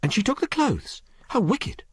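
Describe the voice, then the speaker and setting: A man's voice: very high-pitched, wildly swooping pitch, moderate pace, fairly bright tone, husky, dry recording, pitched above the performer's own voice, full character voice. A man voicing a genteel young lady in an audiobook, speaking properly, earnestly and firmly. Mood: prim, shocked. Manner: proper, earnest, insistent questioning. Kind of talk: audiobook character dialogue.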